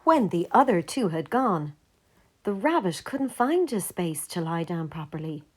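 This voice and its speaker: Slow, lowish-voiced woman reading stories. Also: very animated, darkish tone. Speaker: storyteller reading a picture book aloud. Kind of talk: children's story narration.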